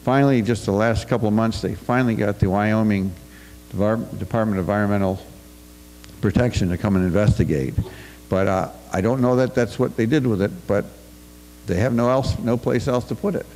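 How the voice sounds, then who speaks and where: A man's voice: fairly low pitch, brisk, darkish tone, soft background music. An older American man reads a prepared talk into a podium microphone at a farm forum in a school auditorium. He stumbles and restarts now and then. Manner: reads from paper, with restarts and asides. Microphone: podium mic on a stand. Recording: reverberant auditorium.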